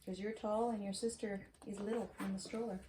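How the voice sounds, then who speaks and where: A middle-aged American woman reading a storybook aloud to a child, with casual asides and questions, in an expressive, teacherly manner. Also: medium pitch, fairly quick. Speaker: host reading to her granddaughter. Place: home library, seated at a desk. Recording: roomy echo, clear background noise.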